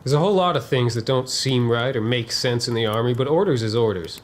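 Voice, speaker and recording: A man's voice: mid-range voice, fast talker, close to an everyday voice. Man acting a dismissive cavalry trooper, faintly noisy. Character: obedient, unsympathetic veteran cavalry private. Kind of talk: historical dramatization dialogue.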